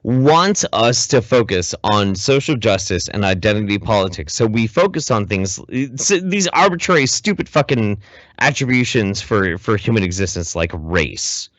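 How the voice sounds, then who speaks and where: A man's voice: on the low side, expressive, normal speed, a bit bright, no room sound. A man co-hosting a casual podcast, giving opinionated commentary in an emphatic, profane way, often repeating his phrases. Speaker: podcast co-host.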